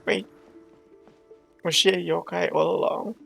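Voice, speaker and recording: A gaming host with a mid-range voice and a very gravelly, creaky texture. The delivery is casual, chatty playthrough commentary, with faint game music behind it. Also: medium pitch, steady tone, a little slow. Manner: reacts aloud, chatty.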